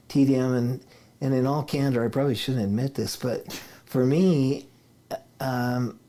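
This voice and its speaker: Mellow-voiced middle-aged man telling stories. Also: medium pitch. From Idaho, United States. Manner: rambling storyteller, chuckles often, restarts sentences. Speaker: interview guest, attorney. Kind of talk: casual conversational interview.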